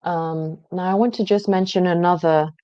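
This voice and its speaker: A woman with a mellow, rather low voice walks viewers through a research database, talking in a relaxed, explanatory way with many small pauses. The sound is dry and a little dull, like a close computer mic. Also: clear, resonant tone, dry recording. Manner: explanatory, conversational, frequent "um" pauses. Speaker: genealogy presenter. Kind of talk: screen-share database walkthrough.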